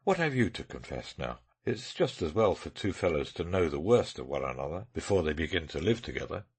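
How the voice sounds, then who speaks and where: A man voicing a brisk, eccentric detective in an audiobook, lively and matter-of-fact. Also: extremely low pitch, husky, exaggerated voice. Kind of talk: audiobook character reading.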